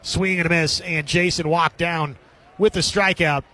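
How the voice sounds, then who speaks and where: A man calling baseball play-by-play as live radio sports commentary, with a husky edge to his voice. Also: on the high side, steady tone, unhurried, pushed and bright.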